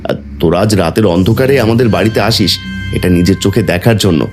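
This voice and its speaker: A man playing a kindly, excitable poor village father in a fairy-tale cartoon, with earnest, dramatic delivery. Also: on the low side, quick pace, faint music behind, natural voice. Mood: earnest excitement.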